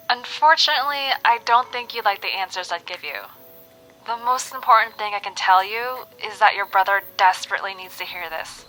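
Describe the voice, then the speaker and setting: A woman's voice: medium pitch, moderate pace, sharp tone, faint music behind, lightly acted, poor audio. A woman playing an earnest, secretive young woman in an audio drama, insistent and cryptic on a call. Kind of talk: audio drama phone conversation.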